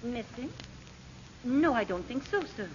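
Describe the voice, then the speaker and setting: A proper, well-mannered figure in a vintage radio drama. Her pitch moves in lively swings, and the recording is dull and muffled. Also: unhurried, slightly rough, full character voice. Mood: meek, puzzled. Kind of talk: 1940s radio drama acting.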